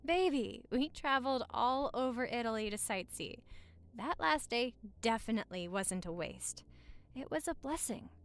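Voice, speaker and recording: A woman acts out a young newlywed wife in a romantic audio roleplay. Her voice is high and swings widely in pitch as she banters. She comes across as affectionate, playful and teasing, chatting as if to her partner at home. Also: expressive, normal speed. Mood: affectionate, nostalgic. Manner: bantering, teasing, sweet-talking.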